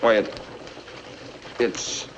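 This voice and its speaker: Brisk navy admiral, dull old recording. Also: male voice. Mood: baffled. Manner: brisk, commanding. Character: baffled, brisk, authoritative American navy admiral. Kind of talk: 1950s film acting. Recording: dull, faintly noisy 1950s film soundtrack.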